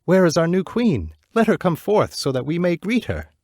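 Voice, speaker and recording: A man voicing a clamoring crowd of townspeople calling out eagerly, pitched well above his own voice. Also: sing-song, normal speed, darkish tone, no echo, clean studio sound.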